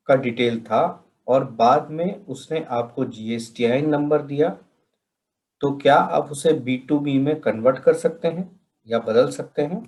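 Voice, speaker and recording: A man from India presenting a question-and-answer tutorial in a deliberate way, pausing between phrases. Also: medium pitch, a little mellow, rough recording. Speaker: presenter of a software training session.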